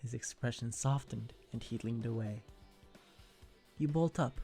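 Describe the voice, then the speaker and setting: A narrator reads a fan fiction story in an ASMR style. The voice is dark and soft-edged with plenty of vocal fry, and music plays quietly behind it. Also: medium pitch, unhurried.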